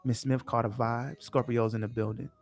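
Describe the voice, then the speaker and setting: A man's voice: mid-range voice, little pitch movement, normal speed, soft background music. A young man in his twenties hosting a casual studio session, talking in a laid-back, slangy hype-man style. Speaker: host. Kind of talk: casual chat. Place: small studio room.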